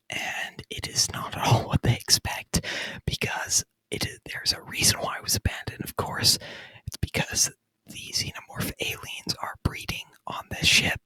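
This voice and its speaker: A man speaking in a breathy whisper, chatting casually in ASMR style and softly repeating words, close to the microphone. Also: dry recording. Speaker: ASMR video creator reviewing a movie.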